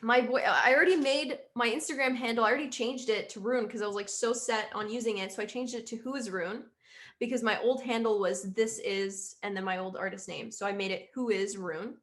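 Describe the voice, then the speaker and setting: Chatty young woman, slightly high voice. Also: steady tone, a little fast, fairly bright tone. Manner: chatty, rambling, quotes herself often. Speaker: musician interviewee. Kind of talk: casual interview chat.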